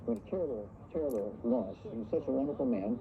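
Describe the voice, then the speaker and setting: A man's voice: medium pitch, big pitch swings, fast, creaky, phone-quality sound, noisy background. A man talking casually on the radio, heard through a vintage receiver. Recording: band-limited vintage radio playback with music.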